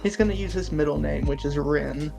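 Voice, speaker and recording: A woman's voice: deep voice, brisk, creaky, music in the background. A woman chatting and improvising casually as a player in a tabletop game. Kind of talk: casual chat and improvised roleplay.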